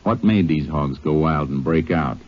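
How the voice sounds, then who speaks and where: An American man playing a calm, methodical Texas Ranger in a 1950s radio western, with a terse, matter-of-fact delivery. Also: a bass voice, normal speed, slight background noise. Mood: businesslike, deducing. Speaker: lead actor and narrator. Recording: dull old radio recording with music.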